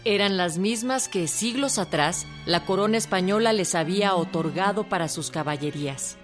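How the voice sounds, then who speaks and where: A woman's voice: medium pitch, normal speed, soft background music. A woman with a Mexican accent reading scripted announcements and narration for a radio production.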